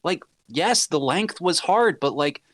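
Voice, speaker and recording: A man with a slightly high, very animated voice chats casually on a podcast about films. He riffs freely and drops into mock quotes. The sound is dry, with no room echo. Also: a little slow. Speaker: podcast guest.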